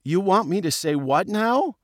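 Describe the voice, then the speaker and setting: Man voicing a plucky, high-pitched little fish. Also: lively intonation, a little slow, dry recording, studio quality. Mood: puzzled, polite. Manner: earnest, polite, slightly cheeky. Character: plucky, polite male little bubble fish, a cheeky newcomer. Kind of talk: audiobook character voice.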